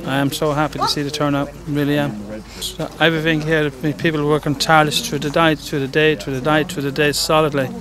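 A man's voice: on the high side, steady tone, fast talker, hardly any pauses. A middle-aged man talking off the cuff in an outdoor interview, often repeating his words. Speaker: interviewee at a protest site. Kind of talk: spontaneous interview talk. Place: outdoors at night. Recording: outdoor crowd noise behind.

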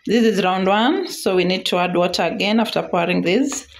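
A woman's voice: medium pitch, normal speed. A woman with a Kenyan accent hosting a casual cooking vlog, chatty, repeating phrases and mixing in Swahili words. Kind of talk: casual cooking tutorial chat. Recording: echoey room, clear background noise, clicks.

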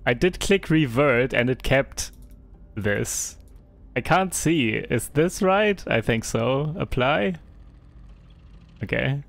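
A man's voice: medium pitch, expressive, slow pace, creaky, pauses often, fairly bright tone. A young man with a German accent chatting casually through a live game stream, reading and answering viewer chat with frequent hesitations.

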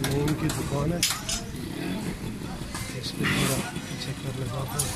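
A man's voice: mid-range voice, fairly even intonation, unhurried, vocal fry. A man in his thirties from Pakistan with a Punjabi accent, vlogging casually through an outdoor market on an earphone mic and greeting people as he walks. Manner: chatty, greets passersby while walking. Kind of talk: casual walking vlog. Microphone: wired earphone mic. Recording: loud street and traffic noise.

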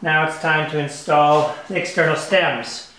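An older man calmly explaining, step by step, as he builds a wooden kayak in his workshop. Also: a little high, a little slow, recorded from a distance, a little hiss or hum. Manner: calm, matter-of-fact explaining while working. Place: workshop, indoors. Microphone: camera mic at a distance. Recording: echoey workshop, voice from off-mic.

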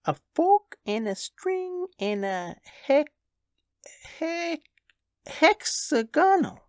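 A woman playing a hesitant little girl with a halting, childlike delivery in a radio drama. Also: sits high, big pitch swings, darkish tone, no room sound, clean studio sound, exaggerated voice.

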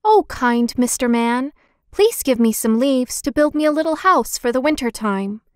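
A woman's voice: high voice, animated, moderate pace, darkish tone, no room sound, studio quality. A woman voicing a small, cheerful young male piglet in a storybook, with a perky sing-song manner. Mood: pleading. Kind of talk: storybook character voice.

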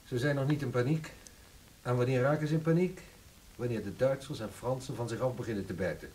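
A man's voice: mid-range voice, brisk, darkish tone, a little hiss or hum, natural voice, rough recording. An actor with a Dutch accent playing a sober, questioning man among worried friends in a radio drama.

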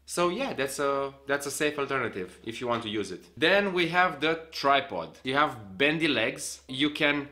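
Bright-voiced young man explaining gear. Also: medium pitch, very animated, moderate pace, few pauses. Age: young adult. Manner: explains hands-on, demonstrating gear while talking. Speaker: vlogger. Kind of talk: casual gear tutorial. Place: small home room with desk. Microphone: camera on a desk, facing him.

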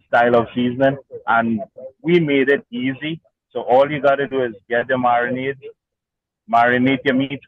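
A man's voice: mid-range voice, no echo. Man from Trinidad and Tobago, lo-fi feed. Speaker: market vendor, guest. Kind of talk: casual interview over a video link. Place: outdoor market stall under a tent.

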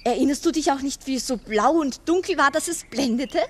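A woman's voice: high voice, a little fast, close to an everyday voice. An actress playing an eager little village girl in a 1960s radio drama, childlike, wistful and coaxing. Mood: wistful pleading. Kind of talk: 1960s German-language radio drama acting. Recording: old mono radio, birdsong noise.

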